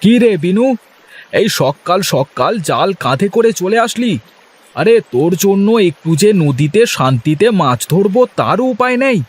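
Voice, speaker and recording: A man playing a poor, grumbling but good-humoured fisherman in a cartoon fairy tale, colloquial and expressive. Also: high voice, normal speed, breathy edge, full character voice.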